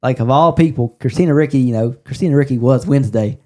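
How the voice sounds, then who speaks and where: Mellow-voiced man hosting casually. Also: mid-range voice, fast talker. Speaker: podcast host. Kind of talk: casual chat.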